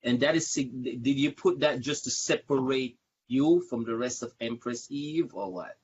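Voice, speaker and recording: A man's voice: mid-range voice, moderate pace, husky. A man hosting a casual live-stream interview, repeating words and phrases for emphasis. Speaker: show host, interviewer. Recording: dull, lo-fi livestream audio.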